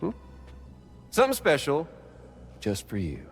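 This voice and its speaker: A man's voice: a little high, wildly swooping pitch, measured pace, over music, full character voice. An actor playing a cruel, theatrical male villain who drawls and mocks in a video game cutscene. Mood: menacing. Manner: drawling, mocking, sing-song teasing. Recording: game cutscene with loud score.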